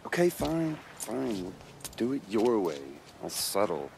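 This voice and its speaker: An actor playing a cocky, wisecracking young American rebel in a video game cutscene, sarcastic and slangy. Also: male voice, fairly low pitch, very animated, unhurried, gravelly, a little mellow, a little hiss or hum, full character voice. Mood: cocky banter. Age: young adult.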